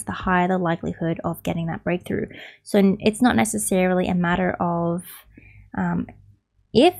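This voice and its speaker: Raspy-voiced young woman explaining charts. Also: medium pitch. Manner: explanatory, steady, repeats "right?" as a check-in. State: losing her voice, raspy. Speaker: crypto trading analyst. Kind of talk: technical chart analysis walkthrough. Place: small room at home. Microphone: studio mic on stand close up.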